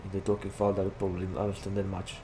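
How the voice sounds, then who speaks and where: Lowish Slovak-accented man, chatty gamer. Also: completely flat delivery, racing, mellow. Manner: rambling, chatty, heavy use of "like" fillers. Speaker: gaming YouTuber. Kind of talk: casual gameplay commentary. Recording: game vehicle noise behind voice.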